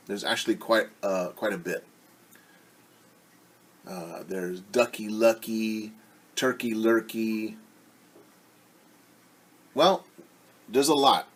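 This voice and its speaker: A man reading a children's story aloud as a teacher, expressive, with casual asides that explain things simply. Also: lowish voice.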